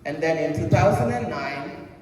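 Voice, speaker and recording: A middle-aged woman from Jamaica, giving a personal motivational speech in a slow, deliberate way and putting questions to the audience. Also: sits low, animated, a little mellow, slight background noise. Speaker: presentation speaker. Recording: echoey hall heard from a distance.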